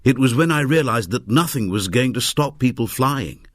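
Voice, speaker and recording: Audiobook character: man in his seventies, read by a man. Wry, peaceable moralizer, unhurried and sardonic, lilting range, dry recording. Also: mid-range voice, lively intonation, dry recording, voice pushed up, natural voice. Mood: resigned. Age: 70s.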